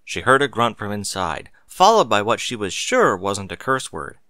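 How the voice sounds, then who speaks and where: A man narrates a story from a script. His voice is bright and very animated in pitch, recorded close and dry. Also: moderate pace, no room sound.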